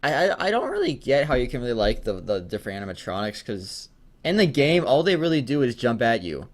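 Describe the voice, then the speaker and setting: Male co-host, mid-pitched, casual and jokey, rambling delivery with halts and stammers. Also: very animated, fairly quick. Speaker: co-host of a fan fiction reading show. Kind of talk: casual joking chat.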